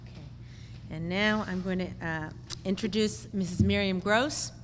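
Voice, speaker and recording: A woman's voice: mid-range voice, fairly even intonation, moderate pace. A woman emceeing an event, briefly introducing the next speaker. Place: hall. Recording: reverberant hall, faint noise.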